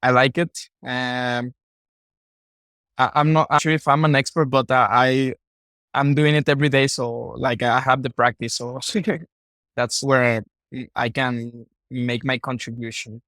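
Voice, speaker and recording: A man from Mexico with a Mexican Spanish accent, a native Spanish speaker, talking casually as a podcast guest in halting, repetitive phrases. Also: mid-range voice, flat delivery, fairly bright tone, no room sound. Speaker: podcast guest, content creator. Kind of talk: casual interview talk.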